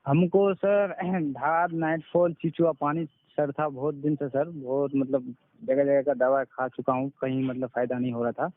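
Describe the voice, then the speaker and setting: A man's voice: medium pitch, steady tone, measured pace, few pauses, no room sound. A man calling by phone, speaking informally and very deferentially as a patient, often repeating himself. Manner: deferential, constantly says sir, repeats himself. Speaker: caller, patient giving a testimonial. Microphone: telephone. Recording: narrowband phone call recording.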